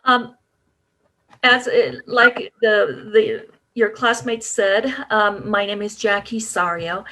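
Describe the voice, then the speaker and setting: A woman guest speaker on a video call telling a personal story, hesitant with many pauses and restarts. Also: medium pitch, steady tone, pushed and bright. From Japan.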